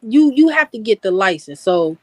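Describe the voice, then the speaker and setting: A woman's voice: mid-range voice, very animated, moderate pace, no echo. A woman hosting a casual livestream chat, laughing easily and talking in loose slang. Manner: laid-back, laughing, slangy storytelling. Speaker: host.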